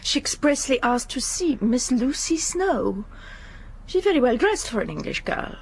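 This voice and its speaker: A woman playing a brisk, formal headmistress in a period radio drama. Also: high-pitched, sing-song, vocal fry, heavily acted. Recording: faint noisy ambience.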